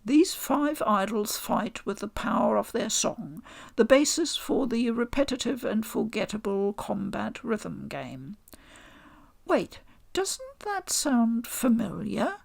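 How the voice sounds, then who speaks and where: A woman reading a scripted game review voice-over in a measured, articulate and deliberate way. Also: on the high side, animated, creaky, a little mellow. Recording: home voice-over with music underneath. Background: game music.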